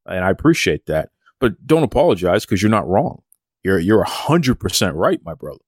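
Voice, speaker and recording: Male podcast host, lowish voice, dry close recording, encouraging, stumbles on repeats. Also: big pitch swings, normal speed, no room sound. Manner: warm encouraging questioner, repeats words. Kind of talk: interview questions.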